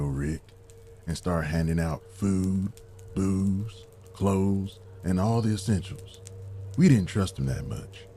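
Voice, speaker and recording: Guy doing wary laid-back homeless dude in an audio drama, deep dark voice. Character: wary, streetwise homeless man named Big Al.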